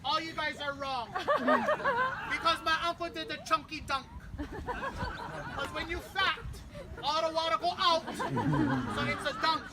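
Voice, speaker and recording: A woman's voice: very high-pitched, measured pace, long pauses. A woman leading a family memorial outdoors at a beach, quizzing the crowd playfully and then praying. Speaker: memorial service leader. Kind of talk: family memorial address with audience games. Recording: outdoor ambience with clear traffic noise.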